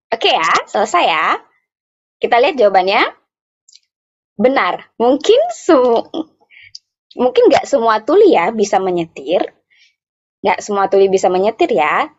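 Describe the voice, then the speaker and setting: A woman interpreting live for an interactive online workshop, speaking phrase by phrase in a halting way with repeats. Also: mid-range voice, sing-song. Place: online video meeting. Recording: dull, muffled online call audio.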